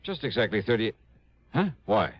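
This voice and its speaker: An American actor in his thirties playing a brisk, wry insurance investigator in a 1950s radio drama, with clipped hardboiled delivery. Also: on the low side, very animated. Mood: puzzled. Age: 30s. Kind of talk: 1950s radio acting, hardboiled first-person narration. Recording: dull old radio recording, music behind.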